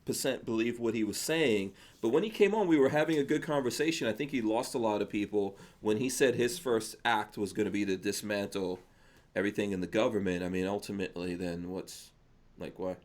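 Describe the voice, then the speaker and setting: A man hosting a podcast in a casual chat, reading out viewer comments in a relaxed, rambling way. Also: lowish voice, brisk. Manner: relaxed, chatty, drifts into mumbled asides. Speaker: podcast host.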